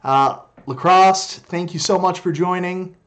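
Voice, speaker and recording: A man's voice: high-pitched, measured pace, a bit bright. A Canadian man in his forties with a light accent, casually chatting on a live stream, greeting viewers and joking about his own skills. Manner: chatty, greets viewers by name, self-deprecating.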